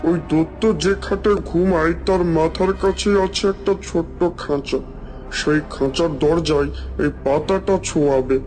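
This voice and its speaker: A man's voice: a little high, fairly even intonation, normal speed, a little mellow, close to an everyday voice. A man voicing a wise, patient magical tree that instructs and cautions in a cartoon fairy tale. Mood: instructing. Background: background music.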